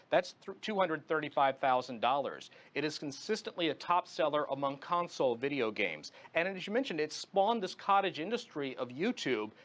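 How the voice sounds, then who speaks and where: A man's voice: a little high, fairly bright tone. A man speaking as a guest commentator in a live news interview, explaining his analysis point by point.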